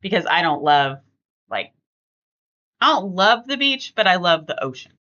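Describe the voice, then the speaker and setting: A woman's voice: lowish voice, big pitch swings, moderate pace, sharp tone, muffled recording. A middle-aged woman hosting a podcast, chatting casually and emphatically with family. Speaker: podcast host.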